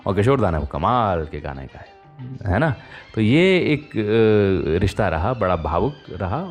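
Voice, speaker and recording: A man hosting a radio show, telling stories in a chatty, conversational way with pauses and rhetorical tags. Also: fairly low pitch. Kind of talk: conversational radio storytelling about old film music.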